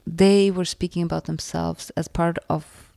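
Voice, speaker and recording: A woman from the former Soviet Union, a native Russian speaker with a Russian accent, answering interview questions in a reflective way and often pausing to search for words. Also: lowish voice, flat delivery, creaky, dark tone, no echo, studio quality. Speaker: author and interviewee.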